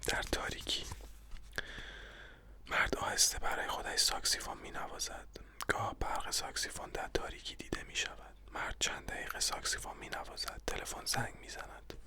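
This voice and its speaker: A person reading a stage play and its directions aloud in a breathy, unvoiced whisper. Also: sharp tone. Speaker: narrator reading all parts.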